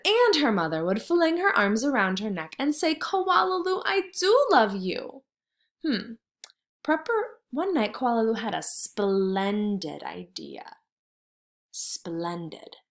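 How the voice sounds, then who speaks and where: A woman with a fairly high, very lively voice leads a read-aloud lesson for small children. She speaks as a kindergarten teacher, explaining simply and thinking out loud.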